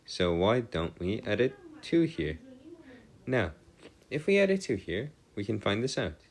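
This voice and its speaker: A man's voice: fairly low pitch, sing-song, measured pace. A man giving an informal maths lecture as a tutor, explaining in formal wording and often correcting himself as he goes. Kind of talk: informal lecture working through equations.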